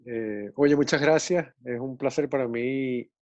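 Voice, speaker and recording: A man in his forties from Venezuela with a Venezuelan accent, telling his story as a guest on a video call in a relaxed way with many pauses and fillers. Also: mid-range voice, dry recording. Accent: Venezuelan Spanish. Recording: muffled video call audio.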